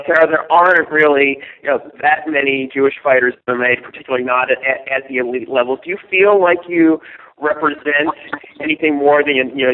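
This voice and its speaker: A male reporter asking questions in a hesitant, halting way over the phone on a conference call. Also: mid-range voice. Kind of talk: press questions. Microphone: telephone on conference call. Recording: tinny band-limited phone line.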